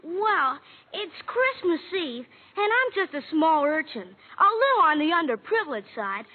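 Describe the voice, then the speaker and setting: A woman's voice: very high voice, big pitch swings, unhurried, full character voice. A woman playing a small boy in a radio comedy, a slick little con artist with glib, wheedling sales patter on an old recording. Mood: earnest pitch. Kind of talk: 1940s radio comedy acting. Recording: tinny, band-limited old radio recording.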